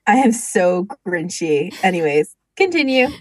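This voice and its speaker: A woman's voice: lowish voice, sing-song, measured pace, a little mellow, dry recording. A woman co-hosting a podcast in casual, blunt, informal chat. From Canada. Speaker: podcast co-host.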